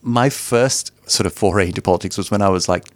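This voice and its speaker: Male interview guest, lowish register, enthusiastic and conversational, very dry sound. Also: a little fast, dry recording. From Sri Lanka.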